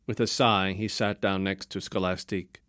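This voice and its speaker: A man narrating a story in a calm, measured storytelling manner. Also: low voice, no room sound, studio quality.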